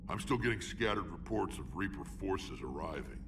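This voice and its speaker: Deep, gravelly alien warlord. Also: male voice, animated, moderate pace, distant mic, noisy background. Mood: resolute. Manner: blunt, wry. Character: gruff battle-hardened alien warlord, blunt old comrade. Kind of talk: video game voice acting.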